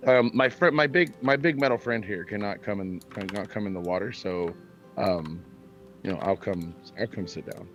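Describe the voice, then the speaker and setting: A man's voice: lowish voice, moderate pace, faint music behind, lightly acted. A man playing a friendly, curious adventurer in a tabletop fantasy game, chatty and apologetic, often repeating his words. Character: friendly, polite, curious adventurer with bugbear lineage.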